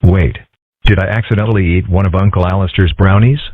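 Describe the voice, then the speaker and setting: A man voicing deadpan, husky male animal characters in a comic strip dub. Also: low-pitched, brisk, dry recording. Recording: muffled phone-like band.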